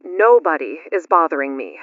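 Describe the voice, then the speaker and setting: A woman playing a calm, stubborn survival expert who calls in to a radio disaster drama, speaking plainly and without hurry. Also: low voice, moderate pace, dry recording. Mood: calm, resolute. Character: calm, stubborn, self-reliant female survival expert on porch. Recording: dry, dull lo-fi audio.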